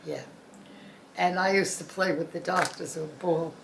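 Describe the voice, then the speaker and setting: A woman in her nineties with a gravelly throat, telling old family stories in a rambling, halting way full of uh's. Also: lowish voice, steady tone, roomy sound, a little hiss or hum, poor audio. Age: 90s. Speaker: birthday celebrant. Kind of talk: casual family storytelling.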